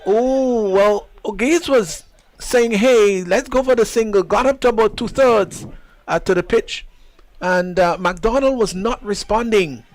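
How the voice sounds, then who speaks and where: Excited Caribbean man commentating cricket. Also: high voice, low-quality recording. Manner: chatty, measured, rises sharply on exciting plays. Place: commentary position outdoors at a cricket ground.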